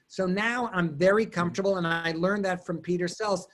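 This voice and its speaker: A man whose first language is German, telling stories and answering questions on a video call, quick and digressive with frequent restarts. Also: high voice, fairly even intonation, a bit bright. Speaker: guest artist and lecturer. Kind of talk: answering questions in an online talk.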